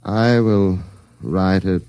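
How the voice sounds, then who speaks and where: A deep-voiced, courteous, enigmatic Martian client. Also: male voice, steady tone, warm tone, smooth, dry recording. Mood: persuasive, assured. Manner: formal, precise, measured diction. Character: courteous, enigmatic male Martian posing as wealthy advertising client. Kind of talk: 1950s American radio drama acting.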